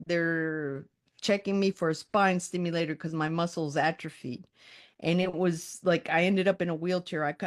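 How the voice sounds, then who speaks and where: A woman in her mid-sixties telling her personal story in a candid, conversational way, often acting out her own thoughts. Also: on the low side. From born in Colombia, raised in the United States from age five. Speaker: writer and resilience coach.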